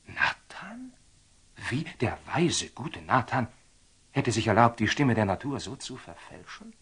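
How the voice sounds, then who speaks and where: An actor playing a proud, impetuous young Templar knight in a classical radio drama, speaking bluntly and with passion. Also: male voice, mid-range voice, wildly swooping pitch, measured pace, a bit bright, breathy edge, full character voice. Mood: shocked, incredulous. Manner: impassioned, blunt, questioning.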